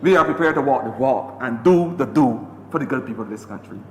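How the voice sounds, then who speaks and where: A man's voice: mid-range voice, expressive, a little fast. A man with a Barbadian accent giving an impassioned, repetitive speech in a parliamentary debate. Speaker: member of parliament. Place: parliament chamber. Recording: echoey chamber with long reverb and faint hiss.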